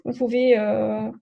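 A Brazilian woman speaking French with a Brazilian accent, a therapist guest in a podcast interview, talking hesitantly with many pauses and restarts. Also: fairly high pitch, gravelly, darkish tone. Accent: Brazilian Portuguese.

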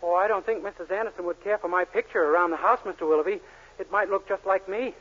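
A man's voice: high voice, fast, noisy background, lightly acted. A man playing a naive, earnest, dim young drugstore clerk in a 1940s radio comedy. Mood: earnest, pleading. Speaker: sitcom lead actor. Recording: muffled 1940s radio recording.

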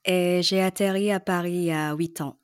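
A woman from Hong Kong with a fairly low voice answers podcast interview questions in a measured way, pausing often on fillers. The recording is dry and close, with no room sound. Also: steady tone, smooth, no echo. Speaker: guest, brand and content director.

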